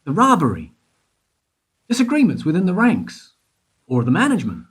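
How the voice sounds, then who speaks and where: A man's voice: high voice, huge pitch swings, moderate pace, husky, full character voice. A man acting in a radio play as a wry investigator, questioning in a probing, insistent way.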